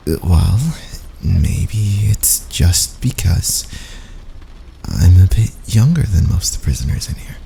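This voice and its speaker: Male actor as a teasing young fantasy prisoner, intimate ASMR, low creaky voice, breathy playful asides, unhurried, faint background noise. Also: warm tone, full character voice. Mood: sheepish, evasive. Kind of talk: intimate ASMR boyfriend roleplay.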